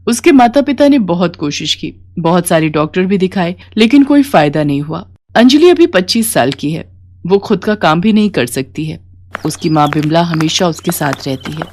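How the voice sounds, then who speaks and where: A woman's voice: on the low side, animated, moderate pace, a little mellow. A woman storyteller reading a scripted moral tale.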